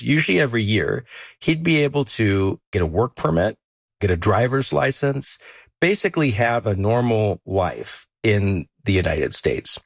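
A man reporting a story on a news podcast, explaining it in a measured, storytelling way. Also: medium pitch, creaky, no echo.

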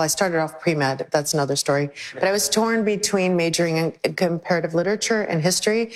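A woman from the Soviet Union speaking as a journalist on a discussion panel, wry and quick in her replies. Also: low-pitched. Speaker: guest journalist.

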